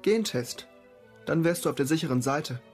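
Man playing a higher-voiced, curious young lad. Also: lively intonation, normal speed, darkish tone, natural voice. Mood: curious, frank. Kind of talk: radio drama acting. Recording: music behind the voice.

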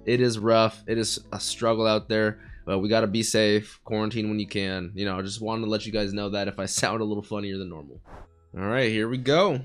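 A young man with a raspy, sick voice chatting excitedly while reacting to an anime in a small home studio, talking into a boom mic. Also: fairly quick, faint music behind. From United States. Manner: excitable, chatty, laughing often. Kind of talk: casual anime reaction commentary. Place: small bedroom studio with neon lights. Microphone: studio mic on boom arm, headphones.

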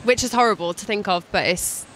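A young woman from Wales with a mid-pitched voice speaks casually and hesitantly into a handheld mic, pausing to find her words. She is on a city street. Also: fairly bright tone, rough recording. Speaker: interviewee. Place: busy city street outdoors.

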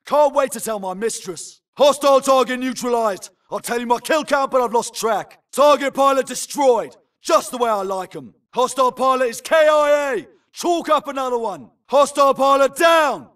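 An actor playing an urgent, aggressive infantry soldier in a video game, barking clipped military combat callouts. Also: male voice, extremely high pitch, moderate pace, hardly any pauses, fairly bright tone, far from the mic. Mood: triumphant. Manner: clipped, barked military radio phrasing.

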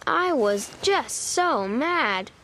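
A woman narrating a children's storybook in an expressive, deliberate way, stretching key words. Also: high-pitched, a little hiss or hum.

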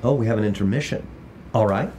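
A man's voice: on the low side, fast, warm tone, a little hiss or hum. A man co-hosting a history podcast, asking questions and summing up the story in plain talk. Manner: paraphrases others in acted quotes, prompting questions. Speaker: podcast co-host and professor. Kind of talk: conversational history discussion.

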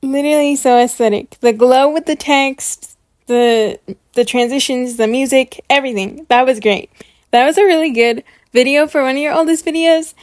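Female reaction host, high voice, bubbly and chatty, full of enthusiasm, dry sound. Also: normal speed, no echo. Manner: bubbly, gushing, excited. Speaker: YouTube host reviewing channels. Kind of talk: casual reaction commentary.

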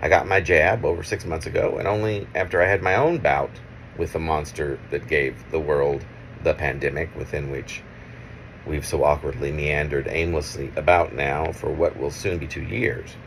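Middle aged guy reading his poem at home on a phone, low creaky voice, stop-and-start phrasing, bit of room noise. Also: fairly even intonation, moderate pace, fairly bright tone, low-quality recording. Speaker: poet reading own work. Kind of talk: poetry reading with casual asides. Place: small room at home, indoors. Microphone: phone at arm's length.

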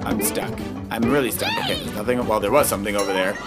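A child hosting a Let's Play, giving excitable gameplay commentary and often repeating words. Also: medium pitch, fast. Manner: exclamatory, repeats words and phrases. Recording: loud game music behind the voice. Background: video game music.

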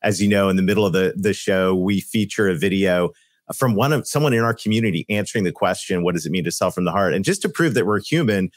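A man in his thirties co-hosting a podcast on a video call, upbeat and quick to laugh. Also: low-pitched, no room sound, studio quality. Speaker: podcast co-host. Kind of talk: podcast interview chat. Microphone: video call with desk mic.

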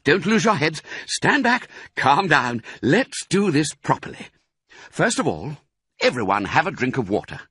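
A man's voice: fairly high pitch, a little slow, slightly rough, dry recording, studio quality, voice pushed up, full character voice. A man voicing a clever, determined father fox who gives orders to his family. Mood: commanding. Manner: animated, commanding, gleeful when triumphant.

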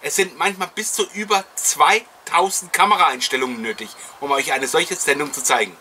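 A man's voice: fairly high pitch, moderate pace, pushed and bright, husky. Male host, middle-aged, enthusiastic and chatty, addressing fellow collectors directly. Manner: effusive, addressing viewers directly. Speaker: host of a collectors' retro show. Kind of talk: enthusiastic chatty presenting to camera.

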